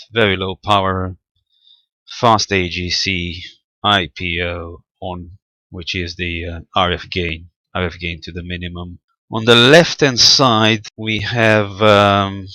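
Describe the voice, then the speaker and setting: A man with a low, bright-edged voice talks through a technical demonstration. He explains methodically and haltingly, feeling his way through each step without a script. The sound is close and dry. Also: dry recording. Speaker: amateur radio hobbyist presenting equipment. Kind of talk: unscripted screen-recorded technical walkthrough.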